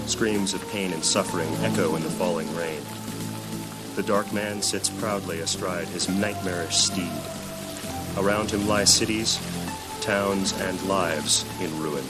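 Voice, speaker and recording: A man with a very low voice delivers dramatic fantasy narration. He sounds solemn and portentous. Prominent music and rain play behind him. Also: a little slow. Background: loud music and falling rain.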